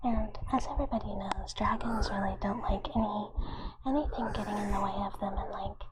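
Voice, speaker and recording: A woman streaming whispered ASMR, speaking to live viewers in a soft, hushed, halting way into a close microphone. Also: mid-range voice, heavy background noise. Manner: speaks of herself in third person as a dragon character.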